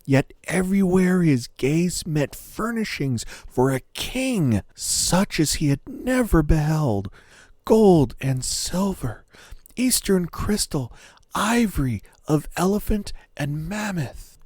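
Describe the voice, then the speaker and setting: A man narrating a scripted fairy tale as a storyteller, in a measured, dramatic manner. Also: on the high side, sing-song, a little mellow, no echo.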